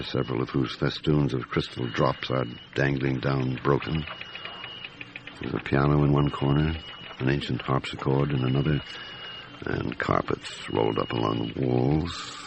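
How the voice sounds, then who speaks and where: A man playing a seasoned, gruff and methodical police chief inspector in a detective radio drama, speaking in a measured, deliberate, brooding way. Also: rumbling low, noisy background. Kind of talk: radio drama acting and narration.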